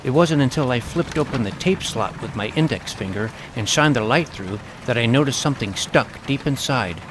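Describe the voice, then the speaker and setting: A man's voice: medium pitch, lively intonation, normal speed. A man giving scripted first-person storytelling narration as the narrator of a tale. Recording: clear background noise, sound effects.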